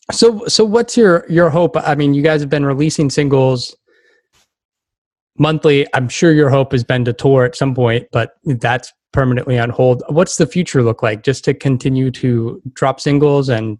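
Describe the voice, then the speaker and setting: A man's voice: mid-range voice, a little slow, darkish tone, dry recording. A man hosting a podcast, asking informal, loosely phrased questions in a casual chat. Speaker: podcast host, interviewer.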